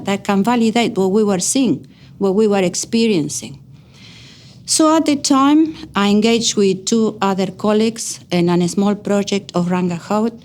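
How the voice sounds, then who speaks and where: A middle-aged woman with an Argentine Spanish accent, her native language being Spanish, speaks at a medium pitch. She tells her own story as an educator would, in an earnest way. Key words get clear emphasis as she goes. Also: darkish tone. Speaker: educator and researcher. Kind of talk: personal account to camera.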